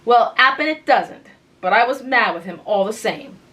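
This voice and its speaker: A practical fourteen-year-old girl from a storybook, brisk and motherly, as a woman reader puts her on. Her talk comes out emphatic and chatty, pitched well above an everyday voice. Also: animated, bright, forceful tone.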